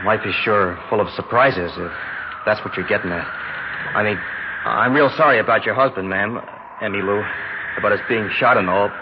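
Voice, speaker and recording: An actor playing a polite young drifter in a 1960s radio drama, with an American accent and a hesitant, earnest, stammering manner. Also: male voice, on the low side, moderate pace, lightly acted. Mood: nervous, polite. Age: young adult. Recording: dull, noisy 1960s radio recording.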